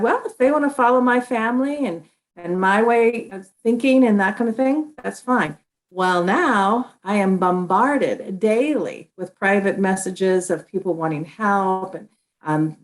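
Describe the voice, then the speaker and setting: A woman's voice: mid-range voice, low-quality recording. A middle-aged woman, an author being interviewed, talking earnestly in a conversational way with frequent restarts.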